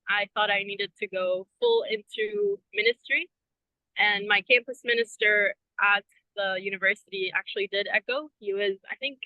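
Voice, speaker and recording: A woman's voice: mid-range voice, dry recording, dull audio. A woman in her twenties from Venezuela, a guest chatting casually in an interview, often saying um and like. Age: 20s. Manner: chatty, friendly, many ums and likes. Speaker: guest, ministry program member. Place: outdoors in front of a cathedral.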